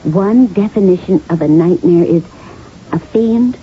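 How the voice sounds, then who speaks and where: Woman acting a slow, sly radio-drama confidante. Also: fairly low pitch, lightly acted. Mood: sly, insistent. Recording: muffled old radio broadcast, faint hiss.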